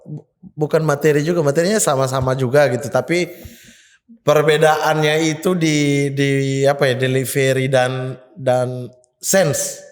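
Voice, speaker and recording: A man's voice: a little high, fairly even intonation, a little slow, big-room sound. A man, chatting as a comedian guest on a podcast, joking and often hesitating with fillers. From Papua, Indonesia. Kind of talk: casual podcast chat.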